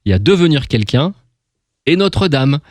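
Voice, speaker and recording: A man's voice: a little high, very animated, normal speed, darkish tone, dry recording. A man hosting a casual music interview, rambling and repeating words as he forms his questions. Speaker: radio interviewer.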